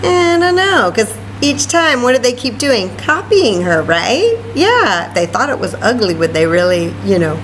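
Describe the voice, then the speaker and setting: A woman reading a story aloud to children in an expressive way, with chatty asides and questions. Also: a little high, moderate pace, hardly any pauses, slight background noise, poor audio. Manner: expressive, asks listeners questions. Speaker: library story time reader.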